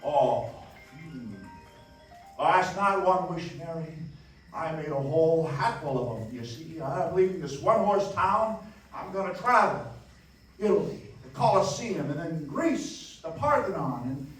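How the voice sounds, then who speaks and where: Eager, awkward young dreamer for a stage radio play; stammering, highish voice, heavily echoing hall. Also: male voice, expressive, unhurried, soft background music. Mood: eager, boastful. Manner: stammering, hesitant, rambling. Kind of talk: live radio play acting, 1940s style. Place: live stage in a dance hall. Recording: very echoey hall, distant mic, faint noise.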